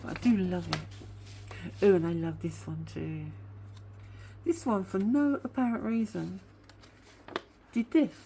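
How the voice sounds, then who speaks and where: A woman's voice: mid-range voice, measured pace, pauses often, mellow, slight background noise. A woman chatting casually as a craft vlogger, rambling on with a slightly whiny voice because of a sore tooth. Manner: chatty, rambling, admits to waffling. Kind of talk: casual craft show-and-tell chat.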